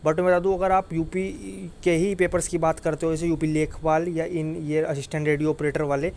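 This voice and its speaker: Guy doing exam updates for students, kinda high voice, monotone, repeats himself, some noise behind. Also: brisk. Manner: repetitive, insistent, often tags phrases with "okay". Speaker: exam-news YouTuber and coach.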